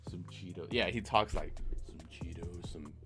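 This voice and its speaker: A man's voice: deep voice, normal speed, sharp tone, faint music behind. A man hosting a live stream, chatting casually from his bedroom and laughing and joking often. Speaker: stream host. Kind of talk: casual chat.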